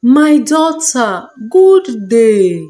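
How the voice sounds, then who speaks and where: Frau spielt einen demütigen, bittenden alten Mann. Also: female voice, fairly high pitch, very animated, drawn-out words, full character voice. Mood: pleading. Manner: gentle, pleading, polite. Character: humble, thirsty, grateful elderly village man.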